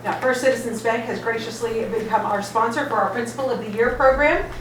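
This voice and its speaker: A woman's voice: fairly high pitch, brisk. A woman hosting an awards ceremony, reading formal, upbeat announcements. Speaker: event emcee. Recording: echoey hall from far off, noisy.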